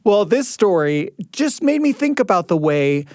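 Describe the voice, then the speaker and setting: A man's voice: high-pitched, sing-song, a little slow, darkish tone, dry recording, clean studio sound. A man hosting a family story podcast, mixing scripted announcements with casual discussion. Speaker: podcast host. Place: dry studio. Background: light music.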